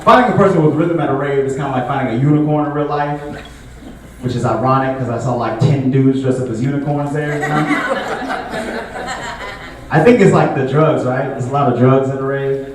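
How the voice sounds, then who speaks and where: A young man performing a stand-up comedy set in a relaxed, conversational way, full of filler words. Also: mid-range voice, fairly quick. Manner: laid-back, conversational, frequent filler words. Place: comedy show venue with a live audience. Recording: echoey room, distant mic, audible noise.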